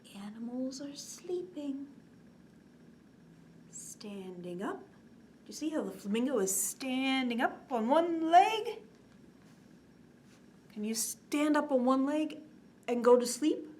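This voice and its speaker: A woman in her forties hosting a children's bedtime story time, expressive, drawing out words and often dropping to a hushed, whispery tone. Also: high-pitched, takes it slowly, pauses often. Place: indoors, small curtained set. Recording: clear background noise.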